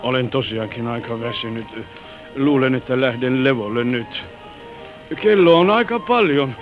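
A man's voice: mid-range voice, natural voice. An actor playing a weary, wistful old grandfather in an old Finnish radio drama. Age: older. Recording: dull vintage radio recording, music bleeding in.

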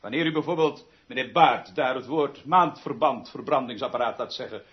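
A man's voice: medium pitch, normal speed, breathy edge, lightly acted. A man in a radio drama playing a pompous, obsessive music director who declaims grandly and repeats words for emphasis. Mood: pompous, indignant. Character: pompous, obsessive, theatrical male music director, haunted by lost half-sister. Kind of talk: theatrical radio drama acting. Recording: dull lo-fi radio recording with music.

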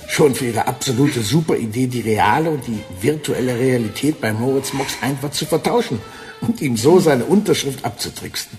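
A man's voice: medium pitch, lively intonation, moderate pace, darkish tone, faint music behind, close to an everyday voice. A man playing a cold, scheming corporate villain in a radio play, sardonic and gloating. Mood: smug. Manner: sardonic, self-satisfied. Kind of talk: German radio play acting.

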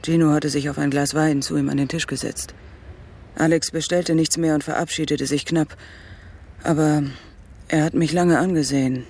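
A troubled widow in a radio play. Her voice is low for a woman, husky and creaky. She speaks in a subdued, hesitant way, as if confiding in family. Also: fairly even intonation, normal speed, a little mellow. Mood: troubled, pensive. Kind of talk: naturalistic radio drama dialogue.

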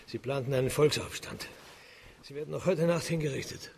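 An actor playing a judge's loyal, dutiful aide in a radio crime drama, reporting briskly and respectfully. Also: male voice, medium pitch, gravelly, darkish tone, breathy edge. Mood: dutiful, urgent. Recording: long reverberant hall.

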